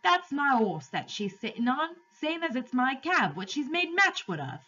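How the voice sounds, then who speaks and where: A woman voicing a rough but kindly male London cabby with a Cockney accent and an earnest, plain-spoken manner. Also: high voice, big pitch swings, moderate pace, bright, forceful tone, distant mic, highs cut off, faint music behind, voice pushed up, exaggerated voice. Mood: urgent.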